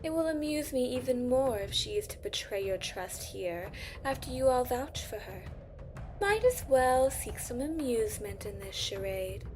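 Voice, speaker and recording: A woman playing a haughty, coolly amused council member in a fantasy audio drama, measured and contemptuous. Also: on the high side, very animated, darkish tone, faint music behind. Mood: wryly amused.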